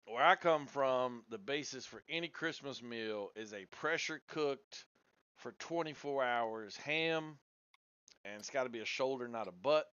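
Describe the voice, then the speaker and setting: A man's voice: mid-range voice, a little slow, fairly bright tone, clean tone, no room sound. Insistent Southern man arguing his case. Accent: Southern American. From Southern United States. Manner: insistent, argumentative, repeats himself for emphasis. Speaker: debater. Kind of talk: heated online debate. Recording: dull lo-fi sound.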